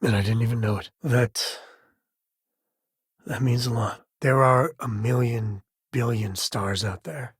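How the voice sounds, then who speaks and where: Video game space-cowboy ranger dad: heavily raspy, mid-pitched, folksy and wry, loyal, protective, recorded dry. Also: male voice, unhurried, dry recording. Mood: tender, earnest. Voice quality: husky, raspy. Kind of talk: scripted game dialogue, conversational acting.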